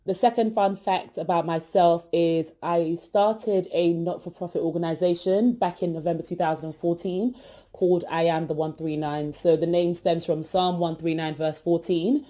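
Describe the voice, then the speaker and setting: A young woman with a low, mellow voice, chatting her way through a podcast intro. She talks loosely and animatedly, full of asides and little fillers. Her voice stays deep and soft all the way through. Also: steady tone, normal speed. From Britain, born in East London, family from Ghana. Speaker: podcast host. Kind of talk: casual self-introduction.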